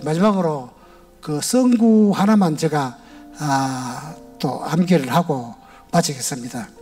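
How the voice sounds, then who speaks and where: An older man speaks with a Gyeongsang accent, a retired elder sharing his testimony in a large church sanctuary. His voice sits high for a man yet sounds mellow and warm. His storytelling is plain and homespun, with a little music in the background. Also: lively intonation, slow. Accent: Gyeongsang Korean. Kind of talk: personal testimony to a congregation.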